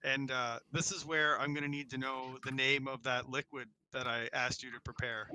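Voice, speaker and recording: A man's voice: medium pitch, little pitch movement, normal speed, noisy background. A man playing a tabletop game, telling a story in a casual, humorous style.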